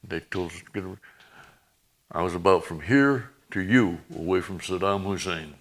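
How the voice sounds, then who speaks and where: A man in his eighties, a veteran guest reminiscing in plain-spoken, halting, folksy talk. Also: deep voice. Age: 80s. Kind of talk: reminiscing in a conversation.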